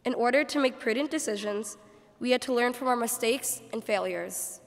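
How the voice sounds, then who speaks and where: A teen girl reads from a script at a church podium. Her voice is on the high side and washed in long hall reverb. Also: moderate pace, gravelly. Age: teenager, about 14. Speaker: graduating student speaker. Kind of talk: reading a prepared ceremony speech. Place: large church, indoors. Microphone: podium microphone.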